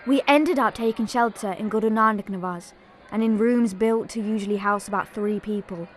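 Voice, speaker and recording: A woman's voice: medium pitch, normal speed, a little hiss or hum, lightly acted. A woman playing an earnest young pilgrim who narrates a family tragedy in a scripted audio drama.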